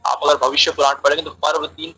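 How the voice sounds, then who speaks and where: A man's voice: a little high, little pitch movement, fast, vocal fry, bright, forceful tone, husky, low-quality recording. A man giving a religious lecture on an online call, explaining terms and repeating phrases for emphasis. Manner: explanatory, translates key terms as he goes. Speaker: religious speaker answering questions.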